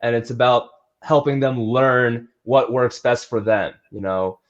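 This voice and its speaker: A man's voice: mid-range voice, unhurried. Man chatting casually, slightly dull sound. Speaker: athletic trainer and strength coach being interviewed. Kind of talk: casual interview chat.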